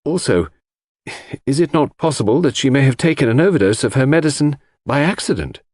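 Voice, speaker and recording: A male narrator plays a deferential gentleman giving testimony in an audiobook mystery. He speaks politely and tentatively, his pitch lifting and wandering, in a dry recording. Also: expressive, brisk, no echo, lightly acted. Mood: hesitant, deferential. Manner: hesitant, apologetic, polite. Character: hesitant, deferential gentleman witness offering a theory.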